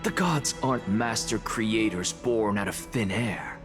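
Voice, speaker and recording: An actor playing a proud, coolly theatrical professor in a video game, lecturing in a deliberate, pompous manner. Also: male voice, on the low side, animated, over music. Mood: coolly triumphant. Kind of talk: dramatic scripted game dialogue.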